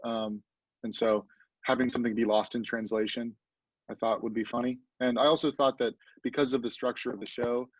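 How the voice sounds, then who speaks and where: A man's voice: medium pitch, little pitch movement, brisk, dry recording. A man who writes for a theatre group, explaining things casually on a dull, dry recording.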